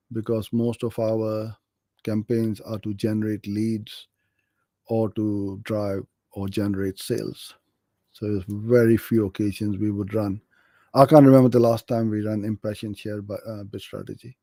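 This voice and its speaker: Very dark-voiced man answering viewer questions. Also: lowish voice, no echo. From Pakistan. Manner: conversational, practical, friendly and direct. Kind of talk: live Q&A on online advertising.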